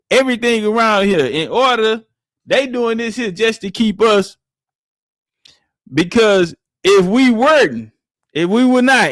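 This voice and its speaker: A man's voice: high-pitched, fairly bright tone, no echo, clean studio sound. A man giving brash, slangy, mocking reaction commentary straight to his viewers. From Southern United States. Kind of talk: casual profane reaction commentary.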